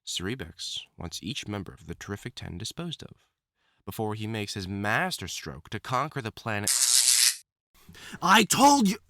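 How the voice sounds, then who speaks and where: Man playing a lovesick, deep-voiced supervillain. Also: fairly bright tone, no echo, studio quality, full character voice. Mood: grim, measured, then desperate, pleading. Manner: formal, theatrical diction, tender endearments breaking into anguished outbursts. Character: lovesick, obsessive male supervillain scientist, unstable and pleading. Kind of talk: dramatic audio roleplay monologue addressed to the listener.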